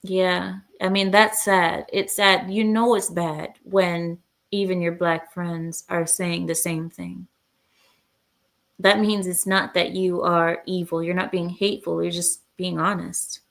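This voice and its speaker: Woman, gravelly vocal fry, casual livestream chat, blunt and opinionated, hesitant restarts. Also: fairly low pitch, flat delivery, slow. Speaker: livestream host. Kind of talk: casual livestream chat, reading viewer comments.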